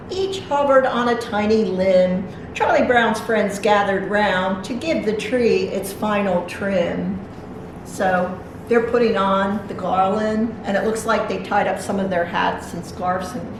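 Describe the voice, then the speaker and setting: A woman's voice: mid-range voice, takes it slowly. A woman reading a picture book aloud to young children, adding simple explanatory asides as a library storytime reader. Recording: very echoey room, distant mic, noisy.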